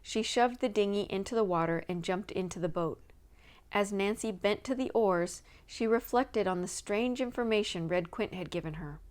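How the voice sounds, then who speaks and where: A woman's voice: mid-range voice, moderate pace, darkish tone. Female reader for children's mystery audiobook, mid-range voice, scripted narration.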